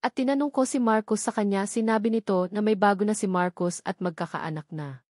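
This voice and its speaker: A woman's voice: medium pitch, a little fast, darkish tone, no room sound, clean studio sound. A Filipino woman reading a scripted first-person story as the narrator of an audio novel.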